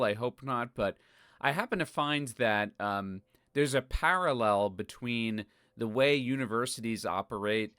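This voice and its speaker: Low, smooth-voiced male host. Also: fairly bright tone, no echo, clean studio sound. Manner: conversational, hedging, filler-heavy. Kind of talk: casual interview questions.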